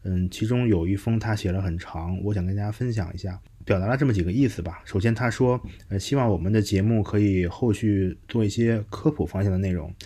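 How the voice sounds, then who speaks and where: A man hosting a podcast in a casual, conversational way, pausing now and then as he thinks through his words. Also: on the low side, dark tone, husky. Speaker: podcast host. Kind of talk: casual podcast hosting, reading listener messages.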